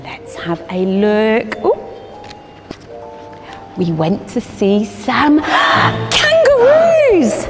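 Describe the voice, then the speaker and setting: A woman reading a picture book to little children in a bouncy sing-song, her pitch swinging high and low as she lingers over each word. She sounds a little above the usual, gasping for effect. The voice carries a long reverb, with music playing clearly underneath. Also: extremely slow, long pauses. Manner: sing-song, animated, dramatic gasps, talks to a bear mascot. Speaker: early years teacher reading a picture book. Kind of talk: children's story time read-aloud. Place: studio with a green-screen backdrop, seated on a sofa.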